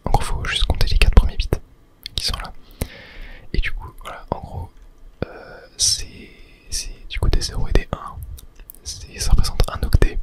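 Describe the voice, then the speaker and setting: A gaming host speaks entirely in a soft, breathy whisper for an ASMR let's play. The delivery is rambling and casual, with self-corrections and small clicky filler sounds as they think aloud. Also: moderate pace.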